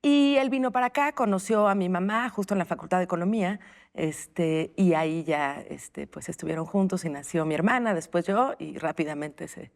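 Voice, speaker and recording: A woman's voice: lowish voice, moderate pace. A woman with a Mexican accent answering interview questions in a reflective, informal, conversational way. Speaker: interview guest, playwright and stage creator.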